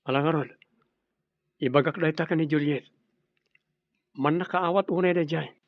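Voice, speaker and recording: A man's voice: a little high, steady tone, moderate pace, no room sound, narrow, tinny audio, lightly acted. A man with a Filipino accent playing an earnest, dutiful grown son and suitor in an Ilocano radio drama. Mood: reassuring.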